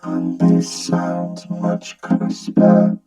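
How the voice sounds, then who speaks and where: A man's voice: extremely slow, over music. A man commenting on gear through a vocoder that makes his voice a robotic synth tone. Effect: vocoder, pitchless robotic synth voice. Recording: muffled vocoded sound under loud synth.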